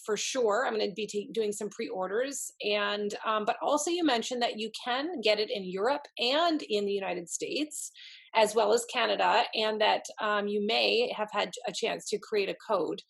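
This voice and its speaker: Enthusiastic woman host, chatty and rambling, medium pitch, video call. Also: normal speed, creaky, husky. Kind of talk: casual live chat.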